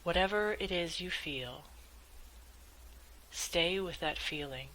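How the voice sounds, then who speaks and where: Low-voiced woman guiding a meditation. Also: takes it slowly, a bit bright, slight background noise, rough recording. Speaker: meditation guide. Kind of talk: guided meditation, calm scripted instruction.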